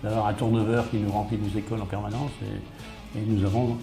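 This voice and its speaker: Male mayor in interview, gravelly low voice, explanatory and enumerating, roomy recording with guitar music behind. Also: little pitch movement, brisk. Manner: matter-of-fact, enumerating, repeats and rephrases. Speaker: small-town mayor being interviewed. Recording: roomy, echoey sound under guitar music.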